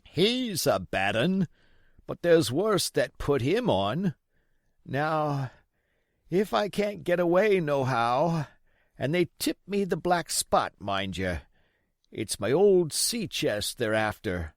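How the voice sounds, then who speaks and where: A man voicing a sick, desperate old pirate in a storybook, wheedling and blustering in rough sailor's dialect. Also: a little high, very animated, slow pace, dry recording, clean studio sound, pitched above the performer's own voice, exaggerated voice. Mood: urgent, conspiratorial. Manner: rough nautical dialect, pleading and cursing.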